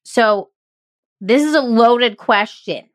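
A woman's voice: a little high, takes it slowly, no room sound. A woman hosting a podcast who interviews her guest in a bubbly, encouraging, playful way. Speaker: podcast host.

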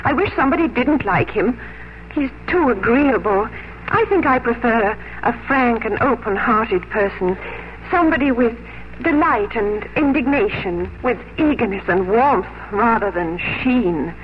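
An actress playing a gentle, sincere young English gentlewoman in a 1950s radio drama, earnest, hesitant and formal. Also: fairly high pitch, animated, measured pace. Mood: troubled, wistful. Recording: muffled, noisy 1950s radio recording.

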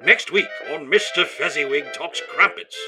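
An actor playing a jolly, pompous old-time English radio host, prim and flowery in a mannered comedy of manners. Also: male voice, fairly high pitch, moderate pace, bright, forceful tone, soft background music. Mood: theatrical, intrigued.

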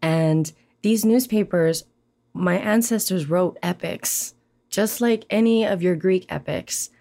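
A woman from Hawaii, a poet speaking in a reflective interview, thoughtful and animated, often pausing with "um" and "you know". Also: lowish voice. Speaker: poet, guest.

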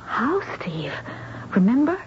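A woman's voice: fairly high pitch, wildly swooping pitch, slow, exaggerated voice. An actress playing an earnest, anxious but brave young woman in an old radio mystery, with a dramatic delivery. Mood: urgent, hopeful. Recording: muffled radio recording with faint hiss.

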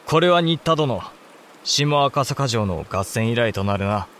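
A man's voice: medium pitch, lively intonation, measured pace, fairly bright tone, a little hiss or hum. A man playing a thoughtful samurai clan head in a Japanese historical audio drama, formal and composed. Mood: courteous.

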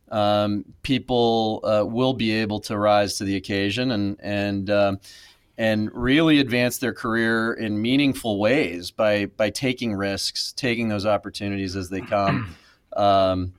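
A man's voice: lowish voice, no echo. A man in his thirties, a podcast guest, speaking reflectively with many fillers and restarts. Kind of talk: casual interview.

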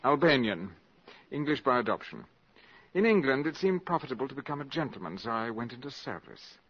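An actor playing a suave, cynical valet turned spy in a 1950s radio drama, with urbane, precise, sardonic diction. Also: male voice, mid-range voice, expressive, brisk, breathy edge. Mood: proud, wry. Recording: dull 1950s radio broadcast recording.

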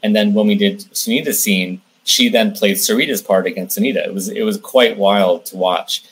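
A man's voice: on the low side, moderate pace, a bit bright, breathy edge. A man giving conversational interview answers as a guest, explaining things in detail and often restarting his phrases. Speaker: interview guest, film director and producer.

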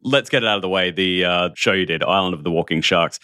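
A man's voice: low-pitched, quick pace, pushed and bright, clear, resonant tone, dry recording, clean studio sound. A male interviewer and critic asking rambling, hesitant questions in a casual chat.

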